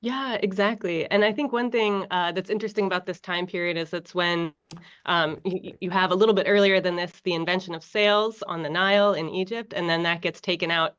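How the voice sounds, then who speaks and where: A woman's voice: fairly low pitch, fairly quick, clear, resonant tone, highs cut off. A woman from the United States with an American accent, a guest researcher explaining her work casually with lots of fillers and restarts over a video call. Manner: relaxed, many fillers and restarts.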